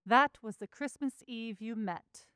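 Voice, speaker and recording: A woman playing a solemn, stern ghostly spirit in a radio drama, questioning in a measured way. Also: a little high, sharp tone, clean tone, no echo, clean studio sound. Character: solemn, probing Ghost of Christmas Past, a spirit.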